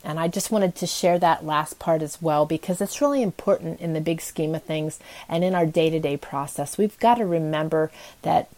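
Woman, low voice, podcast host, casual motivational talk, earnest, encouraging. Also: moderate pace, a little mellow.

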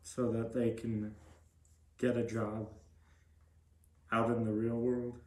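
A man's voice: medium pitch, monotone, slow pace, a little mellow. A young adult man giving plain, brief answers as a guest in a casual interview. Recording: roomy echo.

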